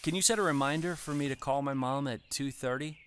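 A man's voice: medium pitch, a bit bright, slight background noise, close to an everyday voice. An actor playing an easygoing office worker who is delighted with his new smart home device. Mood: cheerful. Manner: casual and cheerful, quick to laugh. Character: easygoing male office worker, upbeat, a new gadget owner. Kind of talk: comic radio play.

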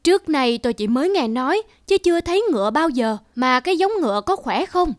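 A woman's voice: sits high, expressive, a little fast, no room sound, studio quality. A woman voicing a stingy, bossy middle-aged rich landowner, grumbling and commanding, in a cartoon folk tale. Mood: curious, astonished.

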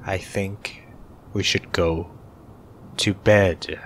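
A man's voice: low-pitched, extremely slow, slight background noise. A man playing a grumpy, shy young incubus student in an ASMR roleplay, grudging and hesitant, sometimes half-whispering. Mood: defensive, embarrassed. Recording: steady rain ambience behind voice.

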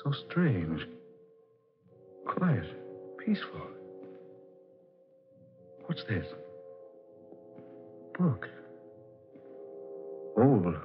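An actor playing a tormented, weary man with a halting, intense delivery in 1940s radio style. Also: fairly low pitch, sing-song, exaggerated voice. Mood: dazed, wondering. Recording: dull 1940s radio recording, music behind.

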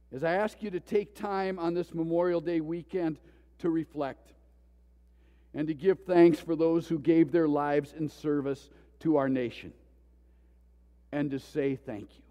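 A male pastor preaching a sermon with passion, swinging between loud pleas and hushed tones. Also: a little high, big-room sound. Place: church sanctuary.

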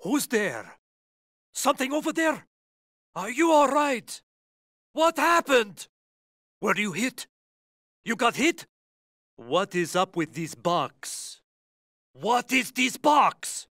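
A man's voice: very high-pitched, sing-song, takes it slowly, long pauses, sharp tone, no echo, studio quality, full character voice. A man playing a suspicious, alert enemy soldier in a video game, challenging and questioning. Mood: startled.

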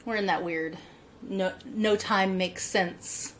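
A woman's voice: mid-range voice, steady tone, takes it slowly, a bit bright, slight background noise. A woman in her thirties hosting a casual podcast chat from home over a video call, upbeat and chatty, laughing often. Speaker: podcast host. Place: home room with bookshelves, indoors. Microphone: earbuds on a video call.

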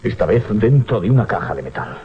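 An actor from a Spanish radio drama playing a fearful, haunted sea captain, intense and theatrical, with a Spain accent. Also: male voice, on the low side, big pitch swings, fairly quick. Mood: grim resolve. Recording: muffled 1980s radio drama recording.

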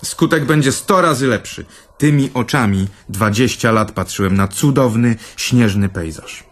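An actor playing a vain, theatrical older brother in a radio play, telling tales in a pompous manner. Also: male voice, medium pitch, normal speed, lightly acted. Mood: boastful. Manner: pompous, storytelling.

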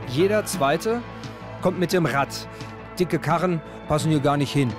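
A man narrates a documentary in short, punchy phrases. His voice is slightly high. Music plays clearly under the narration. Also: measured pace. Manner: terse clipped phrases. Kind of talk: documentary voice-over.

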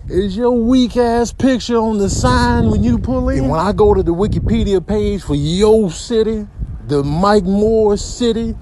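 A man's voice: on the high side, darkish tone, a little hiss or hum. A man giving exasperated, incredulous comic commentary over video clips, drawing out his words for emphasis. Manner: incredulous, drawn-out emphatic delivery. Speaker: comedy voiceover commentator.

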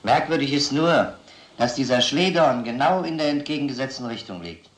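An actor playing a methodical police detective in a crime radio drama, questioning in a measured, insistent way. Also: male voice, mid-range voice, animated, reverberant, close to an everyday voice, low-quality recording. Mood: probing. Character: probing, methodical male police detective interrogating a suspect.

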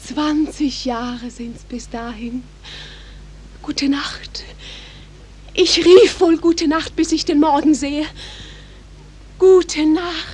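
A woman playing an ardent, anxious girl in love in a 1940s radio play, speaking classical verse in a breathy, hushed declamation. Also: sits high, very slow, long pauses. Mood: excited, yearning. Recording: hissy 1940s radio recording.

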